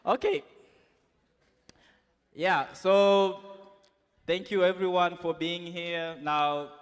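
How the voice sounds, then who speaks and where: Slow upbeat man moderating in a hall. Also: on the high side, clear, resonant tone. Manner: slow, upbeat, joking with the crowd. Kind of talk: moderating a panel session. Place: conference hall. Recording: hall reverb.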